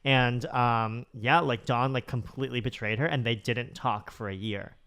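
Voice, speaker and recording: Man, podcast co-host, casual chat, enthusiastic, rambling, resonant clear tone, mid pitch. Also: fairly even intonation, moderate pace, fairly bright tone. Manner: rambling, full of "like" and restarts, enthusiastic.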